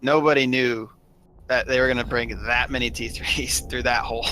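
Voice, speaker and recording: A man's voice: mid-range voice, steady tone, moderate pace, bright, forceful tone, a little hiss or hum, rough recording. A man telling a story in a casual group chat as one of a gaming crew.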